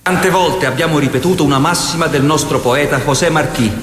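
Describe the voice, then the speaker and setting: A man's voice: fairly high pitch, fairly quick, fairly bright tone, natural voice. An actor playing a passionate, idealistic revolutionary, reading his letters and speeches in a theatrical way. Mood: declamatory. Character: Che, passionate, idealistic revolutionary and faraway husband.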